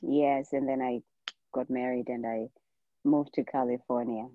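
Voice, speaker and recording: A woman's voice: very deep voice, steady tone, measured pace, a little hiss or hum. A woman in her forties with a Zimbabwean accent, chatting casually as a guest in an interview, on a slightly muffled recording. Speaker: podcast guest, nurse practitioner.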